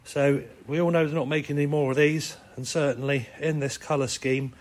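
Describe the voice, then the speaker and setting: Croaky-voiced man touring a car. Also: a little high, brisk. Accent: British. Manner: relaxed, chatty listing of features. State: hoarse, croaky voice. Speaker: car salesman. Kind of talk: walkaround car sales video. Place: indoor car showroom with tiled floor.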